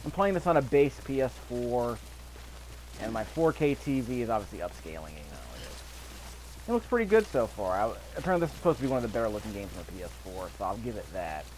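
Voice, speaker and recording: A man's voice: mid-range voice, very animated, fast, a little mellow, slight background noise, poor audio. A man giving casual commentary over video gameplay, with an offhand, dryly skeptical way of talking.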